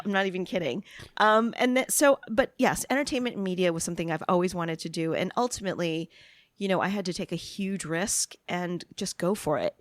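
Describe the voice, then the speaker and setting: A woman's voice: lowish voice, no echo, clean studio sound. A woman from New York City, a media producer, talking casually in an interview with frequent laughter and self-deprecating asides. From New York City, USA.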